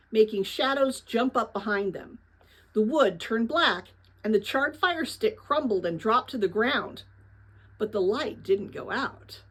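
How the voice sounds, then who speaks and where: Female read-aloud host, fairly high voice, expressive storybook narration with careful phrasing. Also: poor audio.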